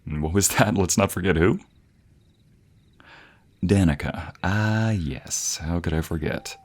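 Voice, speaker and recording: A man's voice: deep voice, a little slow. A young man playing a devoted, teasing boyfriend in a romantic audio roleplay, murmuring affectionately close to the microphone. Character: playful, devoted young boyfriend, a villager with a secret. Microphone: close binaural microphone.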